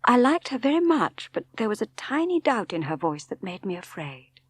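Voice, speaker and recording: A woman's voice: a little high, big pitch swings, moderate pace, slightly rough, no echo. A woman with an English accent reading a novel aloud as dramatic literary narration. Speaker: audiobook narrator.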